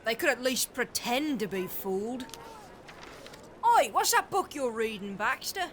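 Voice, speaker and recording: A brash young street girl, cheeky and inquisitive. Her high voice jumps around in pitch, radio-play style, over faint street noise. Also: very animated, unhurried, fairly bright tone. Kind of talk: audio drama acting.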